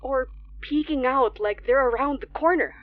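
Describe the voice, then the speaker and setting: A woman's voice: sits high, moderate pace, narrow, tinny audio, close to an everyday voice. A woman playing a frightened radio DJ trapped overnight in a horror audio drama, speaking in a shaky, hesitant way. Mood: shaken, dread.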